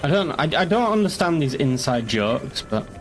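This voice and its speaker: A man's voice: a little high, very animated, moderate pace, faint music behind. A young man chatting casually while streaming a game, rambling and joking with the odd curse. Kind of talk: casual gaming commentary.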